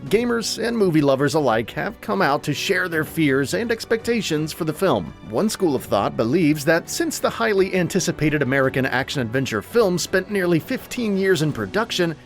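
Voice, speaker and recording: A man reading scripted narration as the host of a movie list show, in a polished, emphatic presenter's delivery. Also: a little high. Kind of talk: scripted video essay narration.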